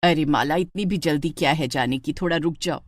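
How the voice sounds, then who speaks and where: A woman's voice: deep voice, fairly quick, no room sound, voice pushed down. A woman voicing a caring, worried middle-aged mother in a story, with a fussing, concerned manner.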